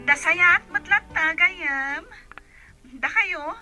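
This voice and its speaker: A woman's voice: very high-pitched, drawn-out words, music in the background, exaggerated voice, rough recording. A woman with an Ilocano accent voicing a chirpy, eager friend in an audio drama. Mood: cheerful, teasing. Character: chirpy, eager female friend wanting a visit. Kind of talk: radio-style drama dialogue.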